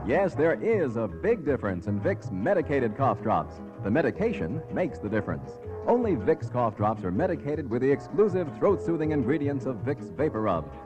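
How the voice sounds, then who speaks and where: Animated male radio ad announcer. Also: mid-range voice, normal speed, hardly any pauses. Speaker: commercial announcer. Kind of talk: 1950s radio ad read. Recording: dull vintage radio recording with music.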